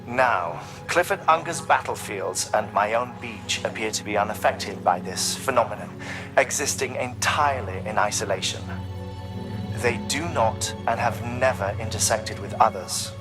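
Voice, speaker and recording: A man playing an erudite, deliberate scientist in a video game, explaining a grave theory with formal, precise phrasing. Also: lowish voice, over music, close to an everyday voice. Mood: grave, contemplative. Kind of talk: scripted dramatic game dialogue.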